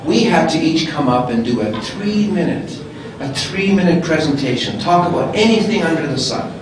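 A man's voice: fairly high pitch, lively intonation, moderate pace, noisy background. Rambling, self-deprecating man from Manitoba on a distant mic in a big echoing hall. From rural Manitoba, Canada. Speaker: panelist. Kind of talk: personal story at a panel. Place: large convention hall. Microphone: handheld mic.

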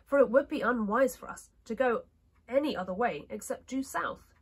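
Woman playing a young girl, highish slightly husky voice, courteous, earnest, storybook heroine. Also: animated, moderate pace. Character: polite, earnest, curious young girl traveller. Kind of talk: storybook character voice.

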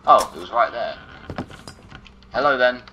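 A man's voice: mid-range voice, sing-song, measured pace, dull audio, noisy background. A man casually commentating his own gameplay, muttering in exasperation and talking to the objects he finds.

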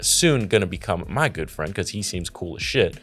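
A man in his thirties hosts a casual podcast, teasing and excitable, his pitch leaping all over the place. He talks into a desk mic in a small home studio, with a faint music bed behind him. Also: normal speed, slightly rough. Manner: excitable, teasing, bursts into high laughter. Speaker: podcast host. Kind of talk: casual chat.

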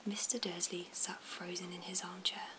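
A woman reading a storybook aloud in a soft, slow, even whisper. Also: lowish voice, noisy background.

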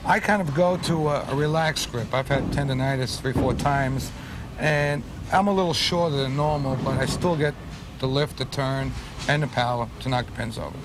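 A man's voice: mid-range voice, moderate pace, background noise. A man in his thirties, a pro bowler, plainly explaining his own grip and shoes in an instructional video at a bowling alley, recorded on a lapel mic. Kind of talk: casual explanation.

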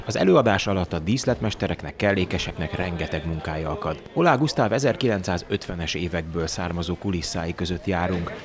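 A man reading a scripted documentary voice-over in a measured, even way. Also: sits low. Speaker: narrator of opera house magazine report. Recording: voice-over with loud orchestral music behind.

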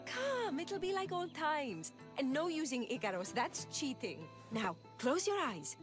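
A woman's voice: high-pitched, very animated, over music. An actress playing a feisty, playful young Greek woman in a video game, bold and teasing in manner. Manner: bold, quick-tempered, teasing. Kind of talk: video game cutscene dialogue.

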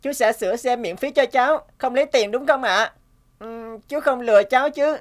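A woman's voice: fairly high pitch, normal speed, lightly acted. A woman voicing a polite, earnest and slightly wary young schoolboy in a cartoon. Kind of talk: cartoon voice acting.

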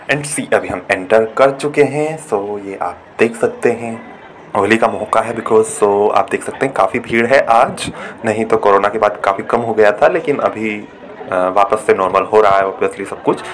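A man's voice: on the low side, normal speed, soft background music. A young man from India casually narrating a vlog as he walks through a shopping mall, talking to a phone camera. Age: young adult. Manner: chatty tour-guide talk mixing in English words. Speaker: vlogger. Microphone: phone camera held at arm's length.

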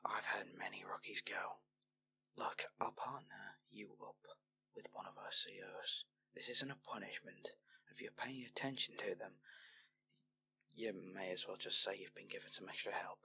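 A man playing a weary, firm but kindly army general with measured authority in a scripted roleplay audio drama. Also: lowish voice, vocal fry, bright, forceful tone, full character voice. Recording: noisy home mic with hum.

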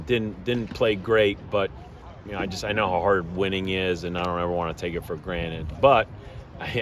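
Deep-voiced coach at an outdoor ballpark. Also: male voice, a bit bright. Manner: measured, plainspoken, frequent fillers and restarts. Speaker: head baseball coach. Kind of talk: postgame media interview. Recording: outdoor background noise.

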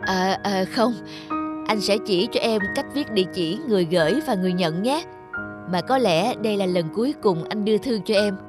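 An actor playing a kind young postman in an animated tale, gentle and reassuring. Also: medium pitch, normal speed, over music, close to an everyday voice. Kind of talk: animated moral tale acting.

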